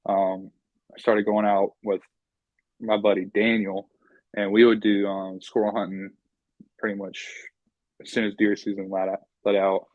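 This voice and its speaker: A man's voice: on the low side, flat delivery, measured pace, pauses often, darkish tone, dry recording, muffled recording. A young man from North Carolina, a guest chatting casually and rambling with many ums. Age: young adult. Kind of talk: casual interview chat.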